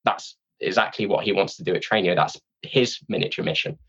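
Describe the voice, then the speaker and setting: A man's voice: low voice, a little fast, gravelly, fairly bright tone, husky, highs cut off. A man speaking informally as an interview guest, candid and self-deprecating in a casual conversation. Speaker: podcast guest, sales training company representative.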